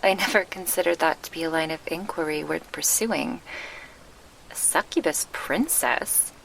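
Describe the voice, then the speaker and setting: A woman playing a gentle, wistful succubus guardian in a soft ASMR roleplay, speaking in formal, measured phrases with a breathy murmur, close to the mic. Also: deep voice, a little hiss or hum, low-quality recording. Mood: wistful, reflective. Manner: formal, measured, old-fashioned diction. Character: gentle, wistful female succubus guardian, grieving her lost past. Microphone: close binaural microphone.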